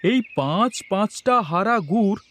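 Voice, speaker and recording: High-pitched, excitable village jaggery seller man acting. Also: normal speed. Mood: amazed. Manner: exclaiming, inquisitive. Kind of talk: animated cartoon story voice acting. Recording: music behind the voice.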